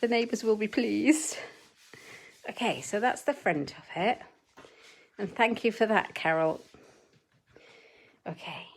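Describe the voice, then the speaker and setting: A woman demonstrating card-making in a chatty, step-by-step way, her voice a little croaky. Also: medium pitch, expressive. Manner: chatty, measured step-by-step instructions. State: slightly croaky voice. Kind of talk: live crafting tutorial.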